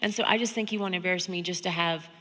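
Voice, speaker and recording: A woman's voice: fairly low pitch, fairly even intonation, very fast, bright, forceful tone. A woman in her thirties from Georgia with an American accent, sharing personal stories candidly and conversationally as a seminar speaker. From Georgia, United States. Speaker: seminar speaker and sex therapist. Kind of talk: informal seminar talk, personal storytelling. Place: on stage in an auditorium, seated at a high table. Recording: echoey hall, stage sound.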